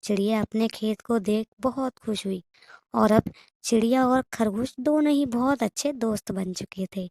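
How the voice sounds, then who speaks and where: Mellow, fairly high woman storyteller. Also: moderate pace, no room sound, clean studio sound. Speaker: narrator. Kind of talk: scripted children's story narration.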